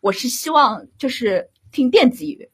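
Husky, animated woman in discussion. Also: high voice, normal speed. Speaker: radio drama director and guest. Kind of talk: conversational panel discussion.

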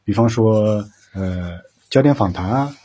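A man answering as a police officer guest, explaining things informally in a measured way. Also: fairly low pitch, dark tone, breathy edge.

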